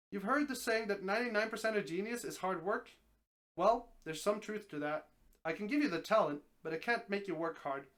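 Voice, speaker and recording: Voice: medium pitch, a bit bright, natural voice. A reader voicing an affable male talking snake who grants wishes, explaining things patiently and confidently in a story.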